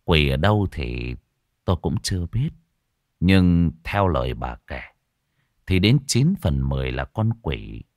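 A stern old monk speaks low and deliberate, his words landing heavily, as a man puts him on. His voice swings widely and sits in a dry, close recording. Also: big pitch swings, a little mellow, no echo, heavily acted. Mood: grave. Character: grave old Buddhist monk, stern and urgent exorcist.

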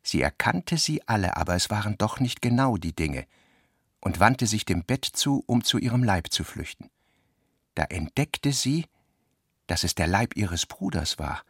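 A man's voice: lowish voice, big pitch swings, unhurried, fairly bright tone, slightly rough, dry recording, clean studio sound. A man reading literary prose as the narrator of a radio drama.

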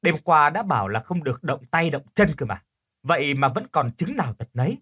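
A man's voice: fairly high pitch, sing-song, brisk, a bit bright, slightly rough, full character voice. A man voicing a feisty young woman in an audio story, blunt with friends and polite to elders. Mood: scolding.

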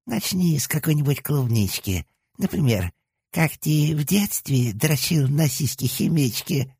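A sleazy, nasal dog-monster head: high, drawled, cheeky and crude. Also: male voice, expressive, a little slow, vocal fry, a little mellow, slightly rough, no room sound, studio quality, exaggerated voice. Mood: sneering. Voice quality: nasal, sleazy, like a stoned junkie. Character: mischievous nasal left head of a three-headed hellhound. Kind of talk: audiobook character voice.

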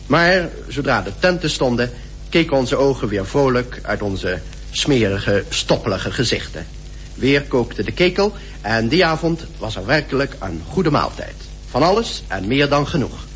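An actor playing a weary British polar expedition member who reflects on the journey in a measured radio drama monologue. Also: male voice, mid-range voice, big pitch swings, a bit bright, a little hiss or hum. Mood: weary, relieved.

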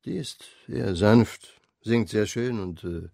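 An actor playing a chatty, obliging tavern keeper in a radio crime drama, informal and gossipy. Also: male voice, lowish voice, slow, vocal fry, dark tone, dry recording, clean studio sound, heavily acted. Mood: chatty, informative.

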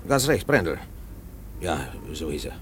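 A man's voice: low-pitched, sing-song, measured pace, a bit bright, heavily acted. A man playing an evasive works director in a 1950s radio play, speaking in clipped, hesitant phrases. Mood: brusque, evasive. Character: evasive, brusque male coal company works director. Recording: faintly noisy 1950s radio recording.

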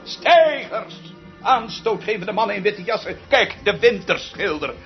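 Dull, lo-fi radio drama sound with music behind. a grandiloquent music director, high-voiced and obsessive, his delivery swinging widely in pitch. He declaims like an orator and hammers key words by repeating them. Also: animated, unhurried. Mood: pompous, indignant. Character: pompous, obsessive, theatrical male music director, haunted by lost half-sister. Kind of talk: theatrical radio drama acting.

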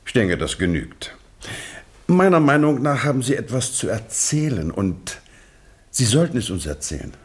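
An actor playing a stern, insistent English police investigator in a German radio drama, questioning in formal, pointedly courteous phrases. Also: male voice, mid-range voice, sing-song, normal speed, breathy edge. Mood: stern, pressing. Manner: formal, pointed questioning with mock-polite address.

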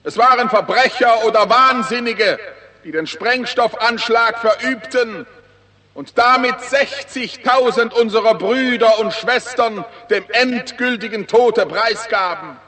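An actor playing a pompous, demagogic prime minister delivering a declamatory funeral oration in a 1960s radio play, in a reverberant hall. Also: male voice, very high-pitched, a little slow, bright, forceful tone, heavily acted. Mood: solemn, mournful. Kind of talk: solemn political oration, 1960s radio acting. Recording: long hall reverb of public address.

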